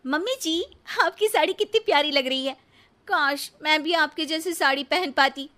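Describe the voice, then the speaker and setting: A woman's voice: high-pitched, moderate pace, bright, forceful tone, voice pushed up. A woman voicing a sweet, affectionate young daughter-in-law in a cartoon story, eager and gushing. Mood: admiring, excited.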